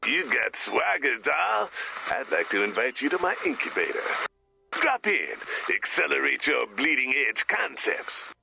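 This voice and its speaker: A man voicing a slick, pompous corporate director spouting business jargon in a video game, gravelly and husky. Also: medium pitch, sing-song, measured pace, pushed and bright, faint music behind, heavily acted, poor audio. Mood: smarmy, intense. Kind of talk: video game character voice lines.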